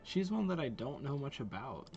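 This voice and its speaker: A man's voice: mid-range voice, sing-song, normal speed, lo-fi sound, over music. A man giving easygoing, chatty reaction commentary as a video creator watching game trailers.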